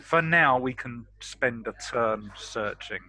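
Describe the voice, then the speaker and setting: A man's voice: medium pitch, takes it slowly, bright, forceful tone. A man chatting casually as a player in a tabletop roleplaying game.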